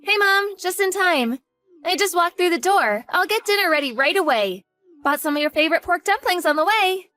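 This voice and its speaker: A woman's voice: very high voice, expressive, moderate pace, sharp tone, faint music behind, full character voice. A woman voicing a devoted college-age daughter who talks earnestly and grows panicked in a dramatized story.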